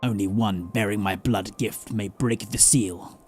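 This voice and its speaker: A man's voice: on the low side, steady tone, a little slow, slightly rough, soft background music, pitched below the performer's own voice. A man reading game dialogue aloud as a grave, imperious villain with a solemn, commanding manner.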